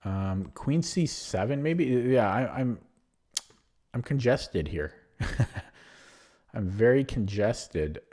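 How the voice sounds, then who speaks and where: A man's voice: mid-range voice, expressive, drawn-out words, long pauses, dark tone. A man streaming online chess, thinking aloud through his moves with a hesitant, self-deprecating manner and frequent fillers. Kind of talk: live game commentary.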